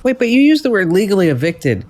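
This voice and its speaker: A woman hosting a radio show in casual on-air chat, with a deep, raspy voice and a playful, joking manner. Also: big pitch swings, fast talker, darkish tone, soft background music. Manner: playful, joking, laughs often.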